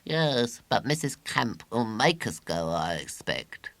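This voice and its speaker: A cautious, sardonic street-gang boy, played by a man in a children's audiobook. The voice is adenoidal and gravelly, with lively rises and falls. He sounds glum and regretful. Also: on the low side, takes it slowly, no echo, voice pushed up, full character voice. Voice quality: adenoidal, nasal.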